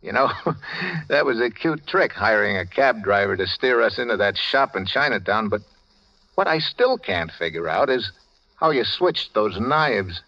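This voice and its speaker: A man's voice: lowish voice, very animated, unhurried, vocal fry, exaggerated voice. An actor playing a tough, bitter man on the run in a 1940s radio noir thriller, speaking in a clipped, hard-boiled way. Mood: bitter sarcasm. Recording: dull vintage radio recording.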